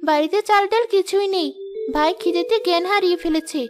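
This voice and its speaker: A poor but kind village girl played by a woman talks in a very high, childlike voice. She is gentle and earnest, in the manner of a cartoon moral tale, over clearly audible music. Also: a little fast. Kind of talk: moral-story cartoon dub.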